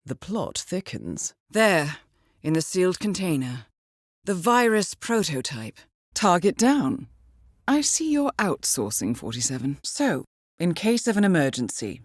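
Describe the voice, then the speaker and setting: A composed British female spy handler in a video game, speaking fairly low with lively, varied intonation. She gives formal, precise mission briefings to an assassin, laced with dry understatement. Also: normal speed, no echo. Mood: dry, wry.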